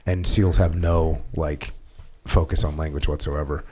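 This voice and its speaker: A man's voice: a bass voice, fairly even intonation, a little slow, mellow, a little hiss or hum. A middle-aged American man hosting a podcast in casual conversation. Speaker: podcast host. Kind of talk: casual conversation, war reminiscing with some reading aloud.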